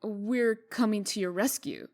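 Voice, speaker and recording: Woman acting a feisty, mid-pitched young woman. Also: measured pace, a little mellow, lightly acted. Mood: determined. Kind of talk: comic dub acting.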